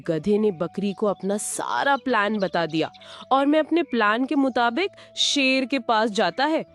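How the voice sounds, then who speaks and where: Lively woman narrating a cartoon story. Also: on the high side, a little slow. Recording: music bed under narration.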